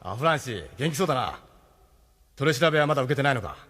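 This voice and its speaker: A man's voice: mid-range voice, animated, quick pace, fairly bright tone. A man acting in a Japanese audio drama as a capable, no-nonsense ship captain leading a rescue. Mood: hushed urgency.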